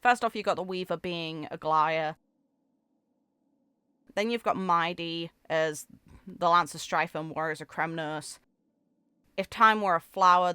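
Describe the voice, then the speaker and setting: A woman with a smooth, clear voice on the lower side talks through game story text in a casual way. The recording is close and dry, with faint music underneath. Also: pushed and bright, no room sound. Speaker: gaming video creator reading and commenting on game story text. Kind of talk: read-aloud with casual commentary.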